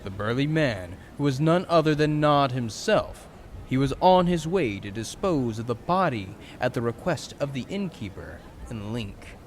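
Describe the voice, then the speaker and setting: A man's voice: medium pitch, animated, moderate pace, slight background noise. A man giving dramatic scripted narration of a story.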